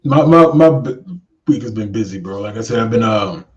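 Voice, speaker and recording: A man's voice: lowish voice, lively intonation, normal speed, dull audio. A man in his forties co-hosting a casual podcast chat, blunt and teasing with his friend. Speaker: podcast co-host.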